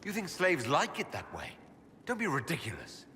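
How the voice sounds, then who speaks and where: An actor playing an earnest, questioning elf leader in a video game, speaking in a measured, direct way. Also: male voice, on the high side, wildly swooping pitch, pushed and bright, exaggerated voice. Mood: challenging. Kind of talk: video game dialogue acting. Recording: reverberant hall, faint background noise.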